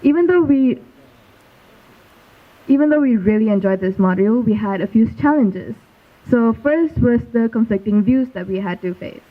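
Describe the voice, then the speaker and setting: A woman's voice: fairly high pitch, moderate pace. A young woman from Malaysia presenting a school project earnestly and steadily. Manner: earnest, steady recitation from notes. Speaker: student presenter. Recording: tinny phone-like sound.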